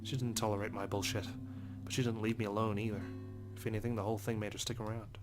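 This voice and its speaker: Fast, even, low-voiced man reading. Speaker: let's play host. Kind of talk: reading visual novel narration aloud. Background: soft guitar music.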